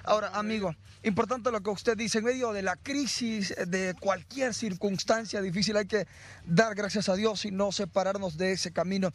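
High-voiced Honduran man reporting live. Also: fairly even intonation, normal speed. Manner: urgent, guiding the camera. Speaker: field reporter. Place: outdoors at burned homes. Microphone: handheld mic.

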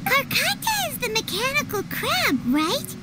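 A woman voicing a tiny, childlike, excitable and curious fairy companion in a video game, with a squeaky delivery. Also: a little slow, very noisy, full character voice. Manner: squeaky, refers to herself in third person. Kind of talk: English video game voice acting.

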